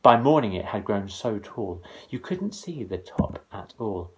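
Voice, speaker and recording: A man's voice: lowish voice, low-quality recording. A man reading comic verse aloud to children as a dramatic, theatrical storyteller. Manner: dramatic, expressive, deliberate rhymed delivery.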